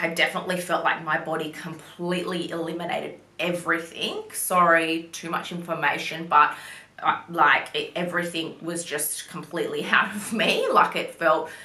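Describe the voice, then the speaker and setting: Bright-voiced chatty woman. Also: low voice. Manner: chatty, full of "like" fillers, apologetic. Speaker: vlogger. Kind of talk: casual chat to camera. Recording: roomy echo.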